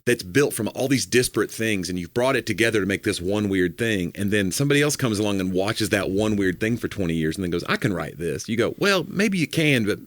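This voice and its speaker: A man who does comedy, co-hosting a podcast in a casual, joking, rambling way, a little hoarse from a cold. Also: on the low side, animated, a little fast, hardly any pauses, no echo. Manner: joking, rambling, filler-heavy.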